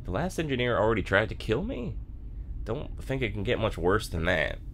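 A man's voice: on the low side, sing-song, moderate pace, creaky, a little hiss or hum. A man playing a wry, bookish smartass in an audio drama, talking in a dry, casual, sarcastic way. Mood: dryly casual.

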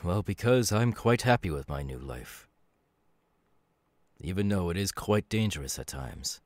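A man voicing a calm, knowing demon warrior with quiet menace, in a measured, halting, dramatic delivery for an ASMR audio roleplay. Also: low voice, dry recording. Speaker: voice actor.

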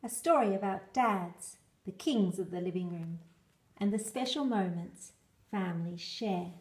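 A woman reading a children's picture book aloud in an expressive, measured storytelling style. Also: mid-range voice.

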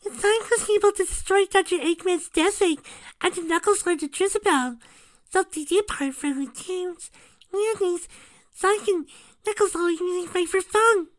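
Squeaky, very high little creature. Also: moderate pace, dry recording. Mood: curious.

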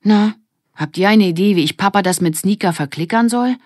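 A woman's voice: medium pitch, brisk, darkish tone, no room sound, clean studio sound, pitched above the performer's own voice, close to an everyday voice. A woman voicing a defiant, stubborn young girl in a children's audiobook, with a pleading edge. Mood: worried. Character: defiant, headstrong young girl who loves animals.

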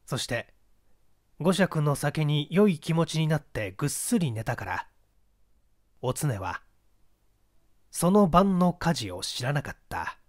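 A woman narrates a mystery story as an audiobook reader. Her delivery is measured storytelling, with animated, wide-ranging intonation. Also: low voice.

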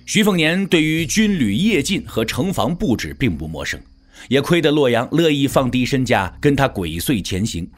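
A man's voice: medium pitch, big pitch swings, normal speed. A man narrating a scripted audio drama in a dramatic storytelling style.

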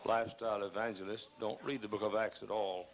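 An older man, hoarse and gravelly, preaching an emphatic sermon at a pulpit microphone in a large church, repeating phrases and rising into loud bursts. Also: fairly low pitch, little pitch movement, a little fast, a crowd in the background, rough recording. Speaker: pastor. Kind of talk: fiery sermon. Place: large church auditorium, choir behind.

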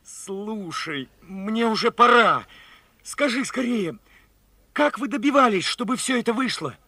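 A man's voice: high-pitched, lively intonation, unhurried, sharp tone, dry recording, heavily acted. An actor playing an eager, naive young soldier speaking to his father in a folksy, wondering way, in Soviet radio play style. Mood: urgent, excited.

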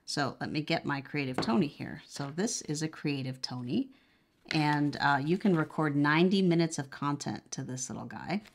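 Low-pitched woman giving a casual product demo. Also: lively intonation, normal speed, vocal fry, slight background noise. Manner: conversational, explains step by step. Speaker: product reviewer. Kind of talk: casual unboxing and demonstration.

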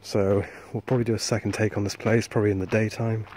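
Low flat-voiced man filming at night. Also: fast, low-quality recording. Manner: hushed, hesitant, many fillers. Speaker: urban explorer vlogger. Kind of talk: casual vlog narration. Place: outdoors at night on abandoned mill grounds. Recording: faint outdoor background noise.

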